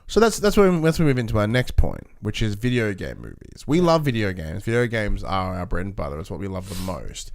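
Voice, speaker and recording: A man's voice: lowish voice, very animated, brisk, few pauses. A man co-hosting a podcast, steering the discussion in a casual, conversational style. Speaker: podcast co-host.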